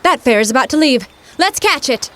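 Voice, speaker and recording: An actress playing a plucky, practical young woman from the 1930s in a comic audio drama, brisk and matter-of-fact. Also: high voice, lively intonation, bright, forceful tone, a little hiss or hum. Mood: curious. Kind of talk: period audio drama comedy acting.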